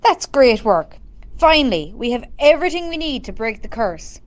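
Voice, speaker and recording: A woman's voice: fairly high pitch, big pitch swings, normal speed. An Irish woman in an amateur radio drama playing a practical, no-nonsense leader of a rural group. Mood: businesslike.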